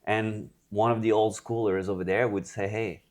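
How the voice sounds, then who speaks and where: A man's voice: fairly low pitch, flat delivery, moderate pace. A man from France, a personal trainer and gym founder, talking frankly and reflectively in a casual interview, with frequent hesitations.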